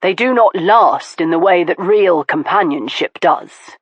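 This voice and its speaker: A woman voicing an earnest, confident young aristocratic man who speaks in a measured, formal way, in an audiobook. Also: fairly low pitch, expressive, no room sound, highs cut off. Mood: sincere. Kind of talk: audiobook dialogue.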